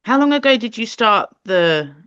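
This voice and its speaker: A woman's voice: on the high side, very animated, normal speed, a bit bright, reverberant, dull audio. A woman co-hosting a podcast, speaking enthusiastically and gushing about the guest. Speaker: podcast co-host.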